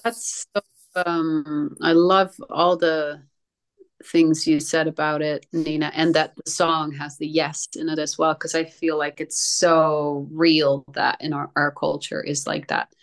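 Slow, low-voiced Dutch woman, searching for words. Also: level tone. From Netherlands. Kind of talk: reflective casual conversation.

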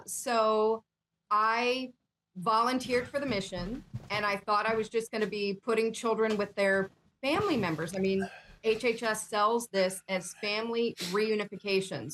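A woman's voice: fairly high pitch, a bit bright, slight background noise, low-quality recording. A woman being interviewed as a guest, answering in a plain, conversational, storytelling way. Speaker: guest, whistleblower.

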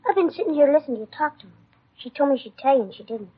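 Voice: high voice, expressive, rapid-fire. A performer playing a worried, earnest young boy in a 1950s American radio drama, hesitant and confiding. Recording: muffled old radio recording.

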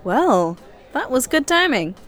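A woman's voice: high voice, big pitch swings, slow, a bit bright, heavily acted. An actress playing a talkative, candid, newly single woman on a first date in a naturalistic audio drama. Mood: playful.

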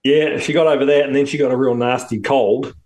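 A middle-aged man from New Zealand with a New Zealand accent, co-hosting a podcast from his shed in relaxed banter, laughing often. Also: medium pitch, fairly quick. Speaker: podcast co-host. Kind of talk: casual chat. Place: home shed.

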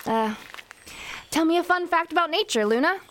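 Woman voicing a brash young heroine, sarcastic, casual, bouncy pitch, audio drama. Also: animated, normal speed, very noisy. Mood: determined.

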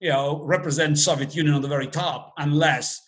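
Halting man. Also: a little high, steady tone, a bit bright. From Azerbaijan. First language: Russian. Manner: halting, many fillers and restarts, dry humor. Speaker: guest. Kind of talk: casual interview talk.

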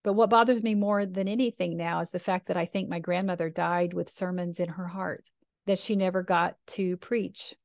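A woman minister with a deep voice, speaking earnestly and reflectively in slow, deliberate phrases. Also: steady tone, vocal fry, a little mellow, dry recording, studio quality. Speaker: minister and podcast host. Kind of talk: reflective personal talk.

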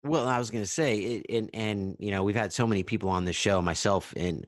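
A middle-aged man hosts a podcast, his fairly low voice recorded close and dry. He is chatty, often repeating a word before finding his phrasing. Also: a little fast, no room sound. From Southern California. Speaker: podcast host. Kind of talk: podcast hosting.

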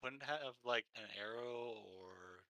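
A man co-hosting a podcast, chatting casually about video games, relaxed and chuckling as he thinks aloud. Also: medium pitch, slow. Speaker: podcast co-host.

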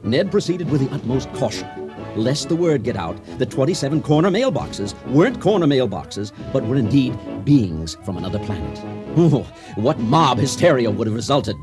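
An actor playing a pompous, effusive storyteller and ceremony announcer in a mock-dramatic radio play. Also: male voice, medium pitch, big pitch swings, normal speed, music in the background, lightly acted. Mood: mock solemn. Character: grandiose, excitable male storyteller and ceremony announcer.

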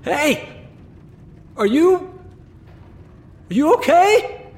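Audio drama casting: anxious young delivery guy, high voice, stammering, very animated pitch, noisy ambience behind. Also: very slow, heavily acted. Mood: worried. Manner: hesitant, stammering, jittery. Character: nervous, good-hearted delivery guy alone at a spooky mansion. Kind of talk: naturalistic audio drama acting.

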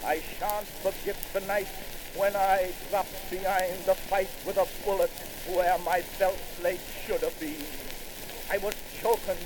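A man with a notably high voice recites verse in a slow, grand theatrical manner, leaping upward on the shouted lines. The sound comes from a crackly 1919 phonograph record, with a steady hiss and pops beneath every word. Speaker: poetry reciter. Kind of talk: old-fashioned theatrical poetry recitation.

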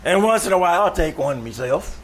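A man playing a dopey, good-natured tavern regular in old-time radio comedy, speaking slowly and dimly in a creaky voice. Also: high-pitched, animated, a crowd in the background. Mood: dim, amiable. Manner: slow, dim, drawn-out delivery. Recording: noisy live theatre stage recording.

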